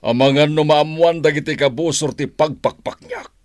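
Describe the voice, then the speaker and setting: A man's voice: a little high, fairly even intonation, normal speed, darkish tone, no room sound, natural voice. A man with a Filipino accent playing a confident crime boss in a radio drama. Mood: grim, confident.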